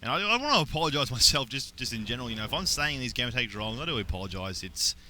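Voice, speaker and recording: A young adult man with an Australian accent doing play-by-play esports commentary, getting excited on big plays. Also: mid-range voice, very fast, slight background noise. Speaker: esports caster.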